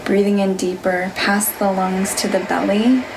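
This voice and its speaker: A woman leading a guided meditation, giving gentle, unhurried instructions in a soothing manner. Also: medium pitch, flat delivery, noisy background. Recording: music behind the voice. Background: music.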